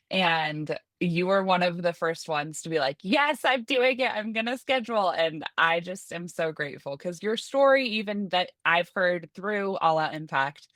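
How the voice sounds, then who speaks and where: A woman's voice: lowish voice, a bit bright, no echo, clean studio sound. A woman hosting a podcast, who introduces her guest and asks questions with enthusiasm. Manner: enthusiastic, effusive. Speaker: podcast host. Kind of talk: podcast interview.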